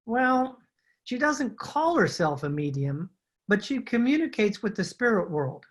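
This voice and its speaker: A woman's voice: a little high, very animated, a little slow. A woman playing a thin, obsequious male rug merchant in a radio drama, speaking with formal, humble, ingratiating patter. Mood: politely evasive. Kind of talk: old-time radio drama acting.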